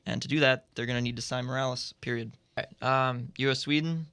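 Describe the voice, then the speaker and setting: A man's voice: medium pitch, fairly even intonation, quick pace, sharp tone, clean tone. A man giving firm opinions and predictions as a panelist on a roundtable sports radio show, recorded in a studio.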